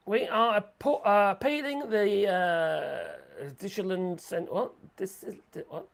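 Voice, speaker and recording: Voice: mid-range voice, expressive, takes it slowly, gravelly. A livestream host rambling through sarcastic commentary, saying the voice is going. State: losing voice.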